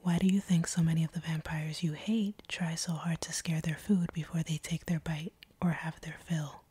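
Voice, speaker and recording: A woman playing a seductive, teasing, slightly sadistic vampire captor in an intimate audio roleplay, speaking in a breathy whisper close to the microphone. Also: deep voice, fairly even intonation, dark tone, no room sound. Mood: seductive, hungry. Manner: slow, teasing, predatory purr. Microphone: close microphone, dry.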